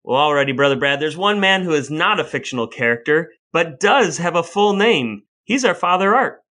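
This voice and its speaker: A man's voice: a little high, expressive, a little fast, pushed and bright. A man co-hosting a podcast in casual, joking chat, with a wisecracking way of talking. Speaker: podcast co-host.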